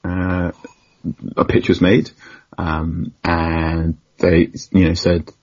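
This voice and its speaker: A man's voice: low voice, completely flat delivery, very slow, darkish tone, muffled recording. A young man, a journalist guest telling stories animatedly on a podcast, laughing often and acting out quotes. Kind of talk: casual podcast conversation.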